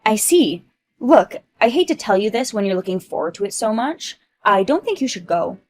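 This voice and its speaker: A woman's voice: mid-range voice, sing-song, moderate pace, darkish tone. A woman voicing a snobbish, boastful rich mom who talks down to others. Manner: haughty, condescending.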